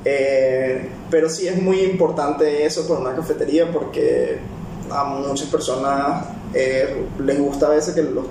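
Venezuelan male barista, casual rambler, distant mic with steady noise behind. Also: on the high side, measured pace, very echoey. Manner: rambling, many fillers and restarts. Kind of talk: casual personal talk.

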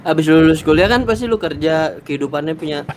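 Seorang pria muda, co-host podcast, bicara santai memberi pendapat dengan bahasa gaul. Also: male voice, on the high side, quick pace, slight background noise. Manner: relaxed, opinionated, slangy banter. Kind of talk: casual group podcast chat.

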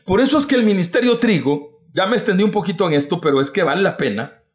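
Un hombre salvadoreño con voz algo aguda predica con fervor en una transmisión. Su tono sube y baja con mucha viveza mientras repite preguntas a su audiencia. La grabación suena apagada y de baja fidelidad. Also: male voice, sits high, expressive, fast talker. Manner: fervent, calls listeners "brother", repeats rhetorical questions. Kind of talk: livestreamed devotional talk. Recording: dull lo-fi recording.

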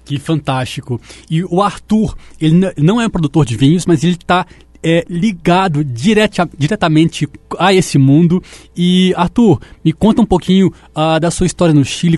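A man's voice: a little high, a little mellow, no room sound. A man hosting a radio interview, asking his guests leading questions with enthusiasm. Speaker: radio host.

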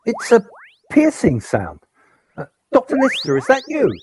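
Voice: medium pitch, wildly swooping pitch, measured pace, noisy background. A performer playing a dutiful male undercover operative on surveillance work in a scripted audio drama. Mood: puzzled, uneasy.

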